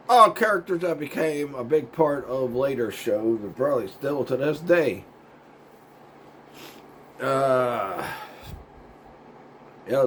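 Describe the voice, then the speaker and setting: A man hosting his own video show, with a voice gone raspy and hoarse from a sinus cold, chatting in a loose, meandering way, losing his words and chuckling now and then. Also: mid-range voice, a bit bright, a little hiss or hum. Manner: laid-back and meandering, loses his words, chuckles often. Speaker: YouTube show host. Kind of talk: casual rambling vlog chat.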